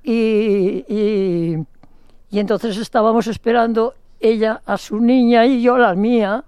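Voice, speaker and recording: A woman's voice: a little high, fairly even intonation, slow, a little mellow, clear, resonant tone, no room sound, clean studio sound. An older woman from Spain giving a chatty, playful interview, rambling and restarting her sentences often. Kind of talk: casual phone interview.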